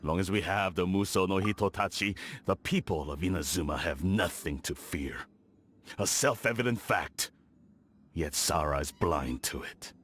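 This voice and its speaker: A man voicing a scheming clan lord in a video game, arrogant, cold and dismissive in a measured dramatic delivery. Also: sits low, fairly bright tone, a little hiss or hum. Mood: smug.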